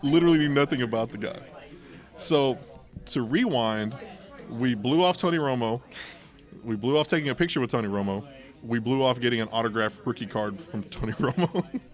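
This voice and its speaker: A man's voice: mid-range voice, fairly quick. A man in casual, informal conversation, telling personal anecdotes as a podcast host and acting out bits of dialogue. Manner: informal, acts out quoted dialogue. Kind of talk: casual anecdotal storytelling chat.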